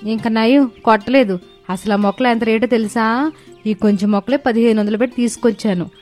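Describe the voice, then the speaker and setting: A woman's voice: on the high side, close to an everyday voice. A woman playing a sweet, polite young daughter-in-law who explains things earnestly in a story cartoon. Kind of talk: dramatized moral story cartoon.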